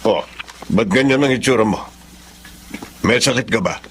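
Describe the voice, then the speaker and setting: A man's voice: mid-range voice, moderate pace, a little hiss or hum, natural voice. An actor playing a stern, domineering wealthy older father, commanding and formal, in a Filipino drama. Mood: stern, bossy. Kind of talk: Filipino melodrama acting.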